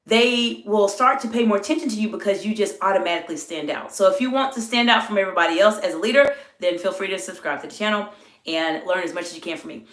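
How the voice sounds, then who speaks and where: A middle-aged woman executive coach talks live to her viewers in a plain, middle-pitched voice that sits lower than usual because she is under the weather. She greets the chat and explains things in a steady coaching way. The room has a noticeable echo. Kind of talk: live-stream talk to chat. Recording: echoey room.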